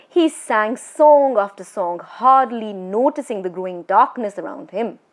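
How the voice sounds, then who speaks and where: A woman reads a scripted scary story for children as a storyteller, with a dramatic, suspenseful and expressive delivery. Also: medium pitch.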